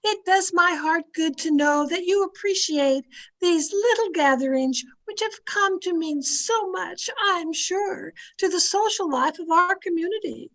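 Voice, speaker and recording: Casting: actress for a bossy, gushing society matron in a radio play, high-pitched, unhurried, grandly affected. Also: female voice, fairly bright tone, heavily acted. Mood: gushing, self-satisfied. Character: gushing, self-satisfied society hostess, bossy wife. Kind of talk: old-time radio acting.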